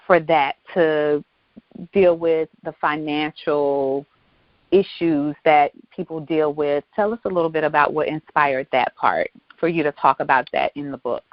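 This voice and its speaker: A woman's voice: deep voice, unhurried, dry recording, highs cut off. A woman hosting a casual interview, informal and affirming in the way she talks. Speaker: show host.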